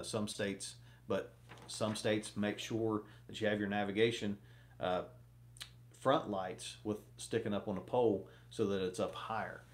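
A man's voice: on the low side, a little hiss or hum. A man in his thirties talking casually to camera from a small home office, rambling with many fillers while giving fishing advice. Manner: rambling, lots of fillers, gestures a lot. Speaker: fishing vlogger.

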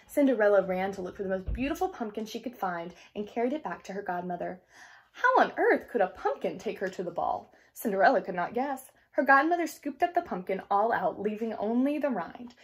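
A young woman reading a picture book aloud to children in an expressive storytelling style, pausing for explanatory asides. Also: medium pitch. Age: young adult. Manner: animated, clearly paced storytelling. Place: small indoor room.

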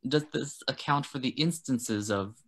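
A man's voice: mid-range voice, measured pace, lightly acted. A man playing a pompous intellectual who delivers a bookish, mock-scholarly monologue in a comedy sketch. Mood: pompous, musing.

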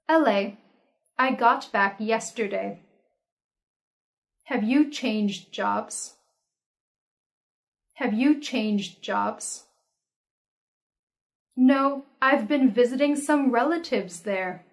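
A young woman, an English teacher, reading everyday practice dialogues aloud slowly and carefully, clearly enunciating and saying each line twice. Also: fairly high pitch. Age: young adult. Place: indoor studio with red curtain backdrop.

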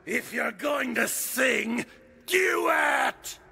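Manic hulking game psycho, piercingly high and harsh, declaiming mad nonsense at a yell. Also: male voice, animated, very slow, exaggerated voice. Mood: frantic bellowing. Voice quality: shrill, gravelly, strained scream. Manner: declaims in short cryptic outbursts. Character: huge raving male psycho, manic and shouting nonsense poetry.

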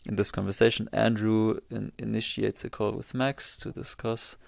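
A man in his twenties giving a casual walkthrough as a software tutorial presenter, close on a desk microphone. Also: on the low side, flat delivery, normal speed, creaky, no room sound. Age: 20s. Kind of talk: casual screen-share walkthrough.

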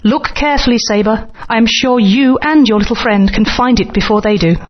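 A woman's voice: a little high, a little fast, natural voice. An actress playing a brave young female dinosaur, a chieftain's daughter, earnest and urgent, in dull lo-fi game audio.

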